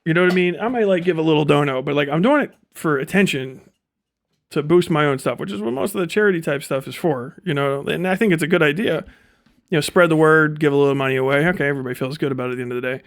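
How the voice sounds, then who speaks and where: Higher-voiced man riffing bluntly on livestream. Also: fast, gravelly. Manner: profane, blunt, sardonic. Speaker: live streamer. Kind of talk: off-the-cuff livestream commentary.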